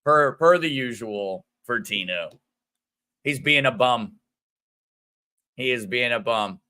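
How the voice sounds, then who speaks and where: A man hosting a casual livestream, greeting viewers by name and chatting in a friendly, rambling way with a smooth, radio-like voice. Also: mid-range voice, animated, slow, bright, forceful tone. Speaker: crypto livestream host. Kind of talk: casual livestream chat reading viewer comments.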